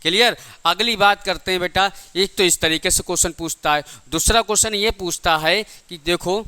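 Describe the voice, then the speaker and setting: A man's voice: high voice, moderate pace, bright, forceful tone, clear, resonant tone. A man teaching a lecture in a didactic way, repeating points and asking rhetorical questions. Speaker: physics teacher for exam coaching. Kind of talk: classroom lecture.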